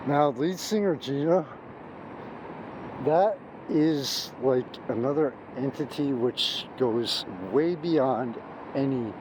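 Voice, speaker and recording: A hoarse middle-aged man giving an emphatic, gushing music review, recorded outdoors on a city street at night, restarting his sentences often. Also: medium pitch, takes it slowly. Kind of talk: off-the-cuff video review. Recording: street noise and traffic behind.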